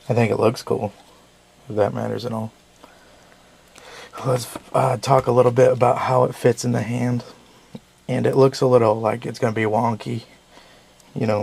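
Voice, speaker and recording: A man's voice: medium pitch, creaky, a little mellow, breathy edge. A man, a little sick and losing his voice, giving a rambling casual knife review with frequent self-corrections.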